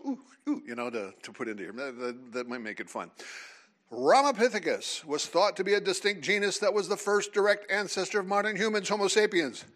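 Animated man leading a lively seminar. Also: on the high side. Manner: animated, teasing, calls on audience. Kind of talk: lively creationist talk with audience. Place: hall with live audience.

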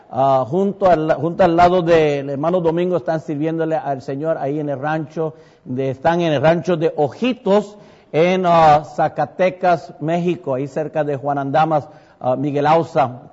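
Puerto Rican pastor with Mexican-tinged Spanish. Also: male voice, on the high side, darkish tone. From Puerto Rico. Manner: rambling, many fillers and self-corrections. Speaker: pastor and church planter. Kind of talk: testimony to a congregation. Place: church sanctuary. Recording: muffled church hall sound with long reverb.

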